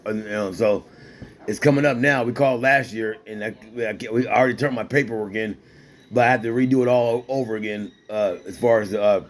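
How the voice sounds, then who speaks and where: A man in his forties, an amateur boxer, talking casually in loose, repetitive street slang with lots of restarts. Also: lowish voice. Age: 40s. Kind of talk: casual rambling talk to a companion.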